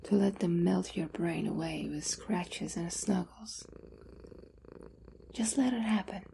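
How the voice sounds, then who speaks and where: A woman performs a possessive female owner in an ASMR roleplay, coaxing and teasing a captive pet. Her voice is very dark and mellow, with heavy creak, and often drops toward a whisper. She takes her time, sounding condescending and doting at once. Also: lowish voice, takes it slowly, slight background noise, exaggerated voice. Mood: doting, domineering.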